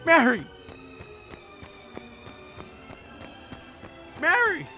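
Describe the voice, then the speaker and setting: A man's voice: extremely high pitch, wildly swooping pitch, moderate pace, music in the background, heavily acted. An actor in a radio drama playing a distraught everyman husband and son, stammering and pleading urgently. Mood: desperate anguish.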